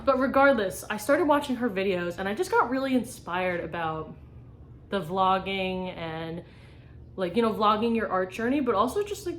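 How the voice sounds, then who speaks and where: Young woman, casual vlog talk, rambling with fillers, mid pitch, light background noise. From New York. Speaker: small business artist. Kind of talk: casual vlog answering tag questions.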